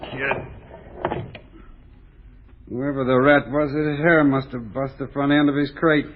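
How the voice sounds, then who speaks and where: An actor playing a chatty working-class American morgue attendant in old radio drama, talking in casual rough slang on a muffled recording. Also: male voice, mid-range voice, fairly even intonation, a little slow, noisy background, close to an everyday voice. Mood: matter-of-fact. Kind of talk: 1930s-40s radio drama acting.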